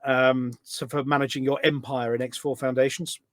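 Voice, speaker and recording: A man's voice: medium pitch, flat delivery, normal speed, no echo. A man with a mid-range voice speaks casually as an interview guest. He is enthusiastic and wanders through his anecdotes in a loose, conversational way. The sound is dry, with very little room in it. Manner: enthusiastic, rambling storyteller. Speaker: podcast guest, game voice pack producer.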